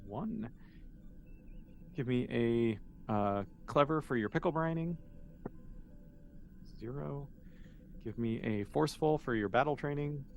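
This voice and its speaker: A man leads a tabletop role-playing game in a casual, encouraging way. His voice sits at an ordinary middle pitch as he explains rules and scenes. A little noise sits behind him. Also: slow, vocal fry, pauses often, a little mellow. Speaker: game master.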